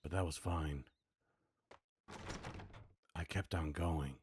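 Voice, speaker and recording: A man's voice: rumbling low, fairly even intonation, slow pace, darkish tone, slight background noise. A man voicing a weary, grim hardboiled noir gunman who narrates his own story in terse, brooding lines, as in a video game. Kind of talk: noir game monologue, voice acting practice.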